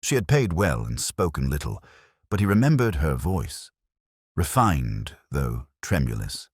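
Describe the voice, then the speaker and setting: A man narrating a mystery story in a measured, formal, literary manner. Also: extremely low pitch, big pitch swings, slightly rough, no echo, studio quality. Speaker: audiobook narrator. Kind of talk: scripted dramatic mystery narration.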